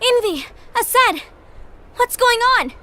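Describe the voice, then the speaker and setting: An actress playing a distressed young fantasy heroine in an animated game cutscene, urgent and pleading. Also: female voice, very high voice, slow, slight background noise, exaggerated voice. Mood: alarmed.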